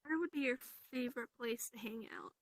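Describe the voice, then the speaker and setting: Young woman casually asking questions, voice kinda high with lots of fry, dry sound. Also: fairly quick, no room sound. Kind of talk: casual chat. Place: online voice chat in a virtual reality game.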